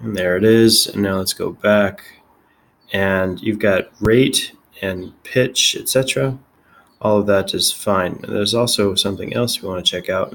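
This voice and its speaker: A man giving a casual software demonstration as a tech video host, halting and thinking aloud as he goes. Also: on the low side, vocal fry, rough recording.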